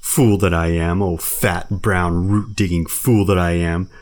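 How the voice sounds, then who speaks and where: A man's voice: low-pitched, fairly even intonation, measured pace, a little mellow. A man voicing a clumsy old male bear who wails and laments over a lost cub. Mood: resolved. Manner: wailing, lamenting.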